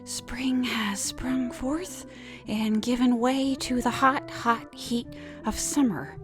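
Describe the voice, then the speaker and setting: A woman playing an awkward, wistful drawing teacher on a macabre comedy podcast, speaking in a halting, deliberate way. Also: a little high. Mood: ceremonious greeting. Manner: halting, deliberate, hesitant instructor delivery. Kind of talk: scripted horror-comedy podcast monologue, mock drawing lesson. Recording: music behind the voice.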